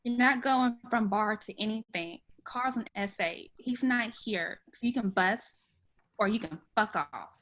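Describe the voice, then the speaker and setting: A woman's voice: mid-range voice, a little slow, fairly bright tone, lightly acted, poor audio. A woman reading the part of a blunt restaurant manager at a script table read, curt and dismissive in tone.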